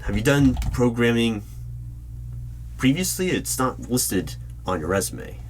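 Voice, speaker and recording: A man playing a polite, probing hiring manager in an ASMR interview roleplay, speaking in measured phrases with deliberate pauses. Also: mid-range voice, big pitch swings, voice pushed up, poor audio. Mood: businesslike. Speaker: job interviewer. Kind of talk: ASMR roleplay, spoken aloud. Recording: background noise with keyboard typing. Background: computer keyboard typing.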